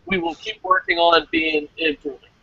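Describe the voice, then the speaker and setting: A man's voice: high voice, very animated, moderate pace, creaky, pushed and bright, breathy edge, highs cut off. A man hosting a casual wrestling review podcast, chatting informally with some swearing. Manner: profane, informal. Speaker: podcast host.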